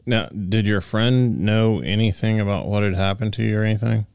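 A man's voice: fairly low pitch, normal speed, gravelly, darkish tone, clean tone, no echo, clean studio sound. A man, hosting a podcast as a casual interviewer.

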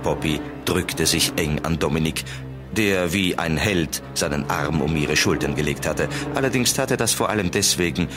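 A man's voice: extremely low pitch, moderate pace. A man reading scripted narration for a children's audio drama. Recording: music bed under the narration.

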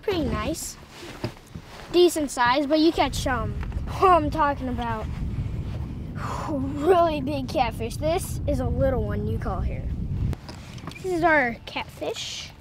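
A woman's voice: high-pitched, slow. A woman casually talking about catfish while out on a boat. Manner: relaxed, chatty commentary while handling fish. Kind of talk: casual on-water commentary. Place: outdoors on a boat on a lake. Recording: noisy outdoor boat audio.

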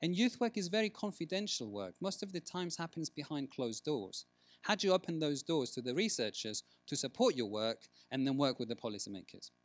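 A man's voice: on the high side, sing-song, a little fast, no room sound. Man presenting, dry sound. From Greece. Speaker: researcher giving a conference talk. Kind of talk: conference presentation with discussion. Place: large conference room, at a lectern.

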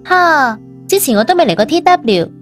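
A woman playing a cool, probing young female executive in an audio story, quietly jealous. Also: high voice, big pitch swings, moderate pace, soft background music. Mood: feigned casual. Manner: pointed questioning, feigned surprise.

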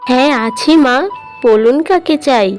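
A woman voicing a poor, kind village mother in a fairy-tale cartoon, gentle and earnest with a sorrowful edge. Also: high-pitched, unhurried, faint music behind. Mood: kind, respectful.

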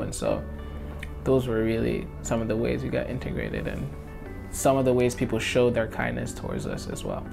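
A man's voice: mid-range voice, gravelly. A young man who grew up in Congo, speaking earnestly and cheerfully about his life in an interview. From Democratic Republic of Congo. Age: young adult. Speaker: interviewee, college student. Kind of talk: personal testimony to camera. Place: indoor studio against a light wall. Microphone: lapel mic. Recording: guitar music underneath.

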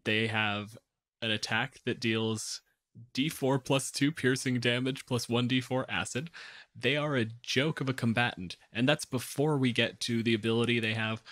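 A man's voice: medium pitch, fairly even intonation, moderate pace, a bit bright, dry recording. A man in casual, analytical podcast discussion of game rules, speaking emphatically and articulately. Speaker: podcast panelist.

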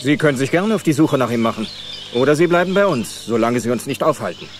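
A man playing a gruff, disillusioned dinosaur scientist in a German audio drama, speaking in a curt, dry way. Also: mid-range voice, expressive, quick pace, a little hiss or hum, natural voice. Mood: stern.

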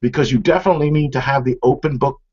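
Man, middle-aged, informal demonstrator, conversational explaining, medium pitch, muffled lo-fi recording. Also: racing, a little mellow. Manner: explanatory, conversational, addressing viewers directly. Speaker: product demonstrator. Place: small office room, indoors. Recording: dull, lo-fi webcam stream sound.

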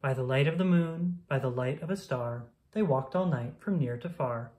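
A woman reading a rhyming children's picture book aloud in a playful, sing-song, expressive manner. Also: very deep voice, moderate pace.

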